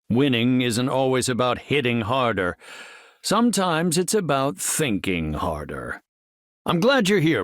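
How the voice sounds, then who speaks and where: Casting: earnest, brainy middle-aged superhero scientist, video game; formal, articulate delivery, very dry close recording. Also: male voice, a little high, a bit bright, no room sound. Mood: warm, assured.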